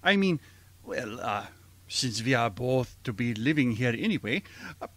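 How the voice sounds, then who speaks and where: Guy playing shy german professor in an old-style radio play, halting, voice swoops around, slow. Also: medium pitch, sing-song, a bit bright, no echo, exaggerated voice. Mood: bashful, friendly. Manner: hesitant, halting. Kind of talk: old-fashioned radio drama acting.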